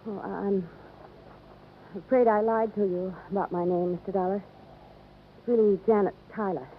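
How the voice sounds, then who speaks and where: A woman's voice: medium pitch, steady tone, normal speed, natural voice. A woman acting as an earnest, determined young American woman in a 1950s radio drama, speaking with urgency. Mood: apologetic. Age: young adult. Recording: tinny, faintly noisy vintage radio.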